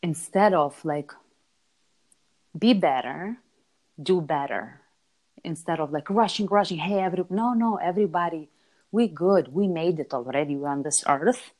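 A woman's voice: lowish voice, normal speed, darkish tone, poor audio. A middle-aged woman from Lithuania with a Lithuanian accent, answering interview questions casually, repeating words for emphasis and checking in with short tags. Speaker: interview guest, entrepreneur.

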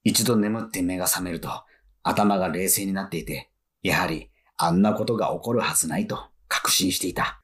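An actor playing an excitable male fan who lives alone, narrating and muttering to himself in a flustered way in a radio drama. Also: low voice, moderate pace, lightly acted. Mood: narrating, bewildered. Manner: animated, self-muttering, easily flustered. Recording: faint music behind.

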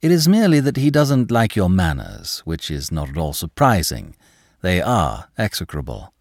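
A man doing a grave, measured gentleman. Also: low-pitched, wildly swooping pitch, no echo, clean studio sound, heavily acted. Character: grave, conciliatory gentleman politician.

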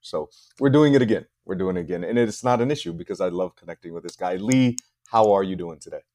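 A middle-aged American man hosting a casual podcast interview, talking in a halting way with repeated words. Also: sits low, mellow, dry recording. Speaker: podcast host.

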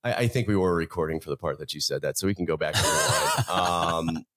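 A man's voice: rumbling low, fairly quick, fairly bright tone. A man co-hosting a podcast, joking around and trading banter with his co-host and guest. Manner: playful, joking, hype-man intro.

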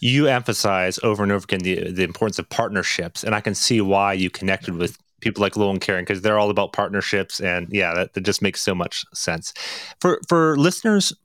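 A man's voice: low voice, a little fast, fairly bright tone, dry recording. A man hosting a podcast, introducing his guest and asking questions in an earnest, upbeat way, often saying "you know" and "um". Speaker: podcast host.

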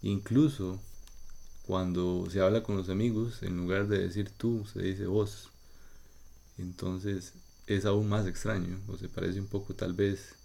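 Low gravelly Costa Rican man. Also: mellow. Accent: Costa Rican Spanish. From Costa Rica. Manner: measured, patient, thinking aloud in short phrases. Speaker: podcast host. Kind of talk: slow explanatory monologue for learners.

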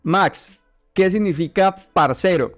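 A man's voice: high-pitched, slow pace, no room sound, soft background music. A man hosting a casual bilingual interview, hesitant and often repeating his words. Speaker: interview host.

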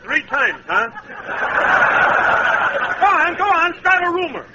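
An actor playing a touchy, argumentative American cabby in 1940s radio comedy, needling and badgering in slang. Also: male voice, very high-pitched, big pitch swings, slow pace, heavily acted. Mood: indignant, needling. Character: touchy, argumentative cabby, a pugnacious troublemaking wise guy. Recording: noisy old radio recording.

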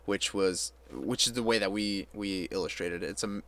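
A man's voice: sits low, moderate pace, sharp tone. A young man co-hosting a podcast, chatting casually in a rambling, profane way with frequent restarts. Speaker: podcast co-host. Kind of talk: casual chat.

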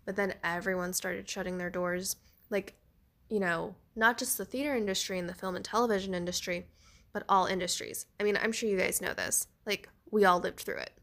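A woman's voice: medium pitch, steady tone, brisk, fairly bright tone. A young woman hosting a solo podcast, chatting casually with many fillers while congested and a bit nasal. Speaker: podcast host; theater actor.

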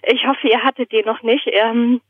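A woman's voice: a little high, steady tone, normal speed, dry recording. A woman from Germany chatting casually as a podcast guest over a phone line, in a hesitant, thoughtful manner. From Germany, born in Berlin, raised in southern Germany. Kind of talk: casual conversation, telling anecdotes and a joke. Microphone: phone call. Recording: thin, narrow telephone line.

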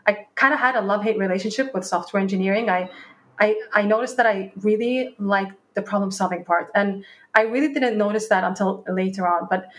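A woman's voice: medium pitch, a little fast, a bit bright. A young woman from Sweden, a startup founder, answering interview questions in a chatty way, often restarting her words and acting out past conversations. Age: young adult.

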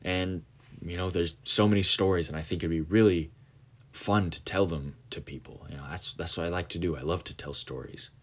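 Podcast host, late teens, male, very low voice, loose rambling delivery full of ums. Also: a little fast. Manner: rambling, many fillers and restarts. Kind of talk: casual monologue.

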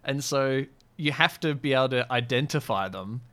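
A man's voice: medium pitch, normal speed, fairly bright tone. A man with an Australian accent co-hosting a podcast, chatting and telling stories in a wry, joking, rambling way. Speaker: podcast co-host. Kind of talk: casual comedic chat and storytelling.

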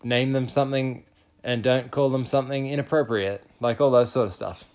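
Young male podcast host, joking, mid-pitched, playful banter. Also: fairly even intonation, brisk, darkish tone. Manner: playful, laughs often, riffs with silly voices. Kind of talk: joking casual chat.

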